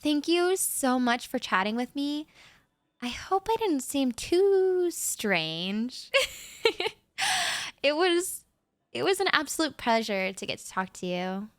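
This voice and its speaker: Shy overthinking writer girl, woman voicing her, stammery little laughs, slowish, dry and close. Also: high voice, very animated, no room sound, heavily acted. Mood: shy, rambling. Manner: nervous rambling with stammers and soft laughs. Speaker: audio roleplay performer. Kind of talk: roleplay audio chat, spoken to the listener.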